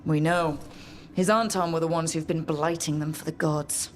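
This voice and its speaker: An actress playing a resolute, wry team leader in a fantasy video game. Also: female voice, sits low, steady tone, moderate pace, a little hiss or hum, close to an everyday voice. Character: resolute, wry heroine leading her team. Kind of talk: video game cutscene acting.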